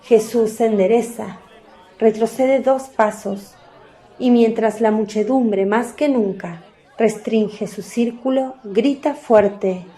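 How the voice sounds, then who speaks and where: A woman delivers scripted dramatic narration. Her voice sits fairly high yet sounds mellow and warm, and she takes her time over each phrase. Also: slow pace, some chatter behind.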